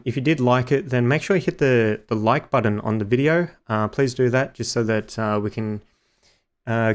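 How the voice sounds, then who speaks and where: A young man streaming a game, chatting loosely to viewers with many fillers, his voice slightly hoarse. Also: medium pitch. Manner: rambling, many ums and uhs. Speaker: gaming streamer. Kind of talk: casual live-stream chat.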